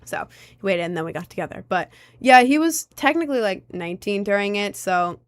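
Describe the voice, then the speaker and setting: A woman's voice: mid-range voice, lively intonation, fairly quick, no room sound. A young woman, not yet twenty, talks loosely into a close phone mic on a livestream, answering viewers as thoughts come to her. Her voice sits in an ordinary middle register and sounds dry, with no room around it. She wanders through her sentences with plenty of "like" and "um". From United States. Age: young adult. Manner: rambling, chatty, lots of "like" and "um" fillers.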